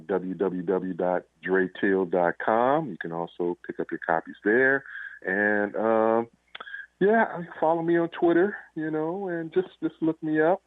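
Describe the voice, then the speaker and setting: A low-pitched man speaks as an interview guest over a narrow, phone-like line. He answers casually and haltingly, in short phrases with pauses between them. The sound is dry, with no room echo. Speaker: interview guest, crime fiction author and police officer.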